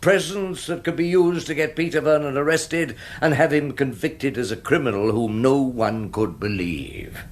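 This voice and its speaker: An actor playing a wry, combative older English defence barrister, cross-examining theatrically and sardonically in a courtroom drama. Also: male voice, medium pitch, moderate pace, few pauses, close to an everyday voice. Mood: triumphant, accusing. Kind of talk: courtroom radio drama acting.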